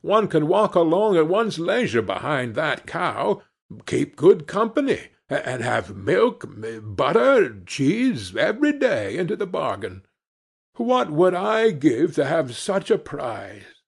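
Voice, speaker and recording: A man's voice: a little high, very animated, takes it slowly, gravelly, slightly rough, heavily acted. A man voicing a cheerful, naive young servant lad in a fairy tale, earnest and exclaiming. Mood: vexed, then eager. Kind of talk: fairy tale storybook reading.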